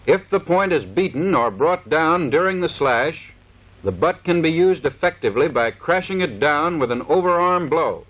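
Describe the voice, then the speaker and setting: High-pitched American man narrating vintage training film. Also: lively intonation, normal speed. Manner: formal, clipped, instructional. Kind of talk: scripted 1930s instructional film narration. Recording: dull vintage 1930s film soundtrack.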